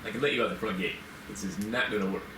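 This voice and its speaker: A man's voice: fairly low pitch, fast talker, lightly acted. A man playing a guarded, flustered groundsman in his fifties in an amateur screenplay table read. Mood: nervous, evasive. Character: nervous, evasive 55-year-old male manor groundsman. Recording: echoey, distant mic, clear noise.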